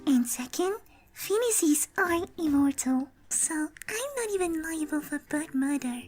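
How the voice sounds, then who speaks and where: A woman's voice: high voice, lively intonation, unhurried, a little mellow. A woman voicing a timid, evasive female pony in a cartoon parody, innocent in manner, with music behind her. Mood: defensive.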